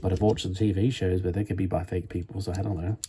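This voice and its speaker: A man with a deep, warm, relaxed voice talks his way through a build at home. He wanders from one thought to the next, often restarting mid-sentence. Faint background noise sits behind him. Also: fast talker. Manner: meandering, chatty, thinks aloud with frequent restarts. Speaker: streamer building a toy kit live. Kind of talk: rambling live-stream chat while building.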